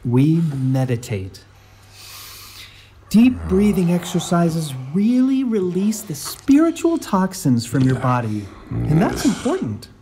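A man voicing a gentle, fussy zombie in an animated film, hesitant, earnest and anxious to please. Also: on the high side, takes it slowly, slight background noise, exaggerated voice. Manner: hesitant, earnest, soothing, easily hurt.

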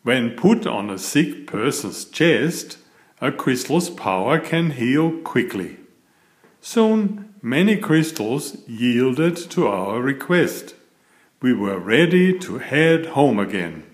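Slow, lively male storybook narrator. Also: mid-range voice. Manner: deliberate, expressive storytelling for small children. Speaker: author reading his picture book aloud. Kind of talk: scripted children's storybook narration.

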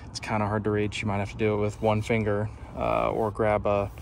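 Man, low-pitched, crackly vocal fry, informal, easygoing, casual how-to vlogger. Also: completely flat delivery, fairly quick. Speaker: DIY video presenter. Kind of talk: casual hands-on walkthrough. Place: inside a parked pickup truck. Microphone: handheld phone camera. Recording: clear background noise, vehicle ambience.

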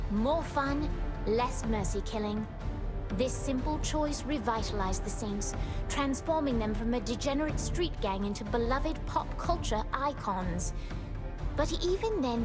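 Formal, theatrical woman narrator. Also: high-pitched. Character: woman narrator of a mock-epic gang tale. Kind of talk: grandiose scripted storybook narration.

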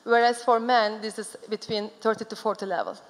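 A woman's voice: a little high, steady tone, fairly quick. A woman moderating a conference panel who speaks formally and hands the floor to the next speaker. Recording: long hall reverb.

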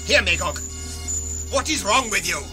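A man's voice: high voice, lively intonation, normal speed, music in the background. A man playing an imperious, rattled corporate director in an audio drama, demanding and indignant. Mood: indignant, alarmed.

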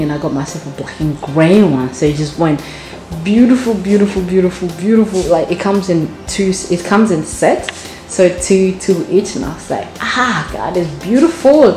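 A chatty woman walks through her home showing it off on her phone, gushing and repeating words for emphasis. Her voice sits low for a woman, and it rings off the hard tiled rooms. Clear music plays under her the whole time. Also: dark tone, reverberant, poor audio. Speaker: home vlogger giving a house tour. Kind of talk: casual house tour chat. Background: background music.

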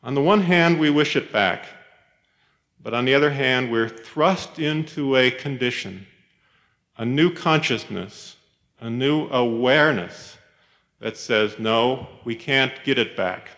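A man, a professor, giving a reflective, sermon-like chapel talk in a measured storytelling manner, with pauses. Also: mid-range voice, fairly bright tone. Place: large chapel, speaking to a congregation. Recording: reverberant chapel hall, 1990s cassette tape.

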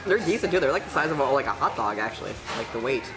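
Very low-pitched woman chatting casually. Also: sing-song, racing, low-quality recording. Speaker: food challenge competitor and vlogger. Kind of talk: casual chat over a meal. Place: small diner booth, indoors. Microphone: camera across the table. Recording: diner with music and dish clatter.

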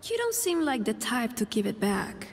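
A woman's voice: on the high side, sing-song, normal speed. An actress playing a guarded, brooding, blunt young warrior woman in a video game. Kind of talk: video game character dialogue. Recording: faint game ambience noise.

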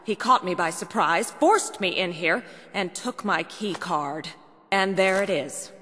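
An actress playing an anxious, earnest asylum doctor in a video game cutscene. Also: female voice, lowish voice, measured pace, a bit bright. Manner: nervous, earnest. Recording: long stone-tunnel reverb, faint noise.

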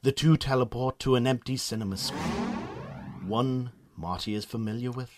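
A man's voice: medium pitch, normal speed, music in the background. A man with a Northern Irish accent reading scripted narration as the narrator of a story.

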